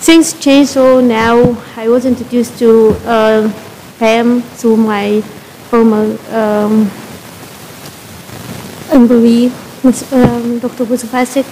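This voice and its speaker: A woman's voice: a little high, little pitch movement, slow pace, a little mellow, slight background noise, rough recording. A woman from Egypt introducing herself at a community board meeting, speaking at a podium mic with many hesitations. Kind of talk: public meeting remarks. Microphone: podium microphone.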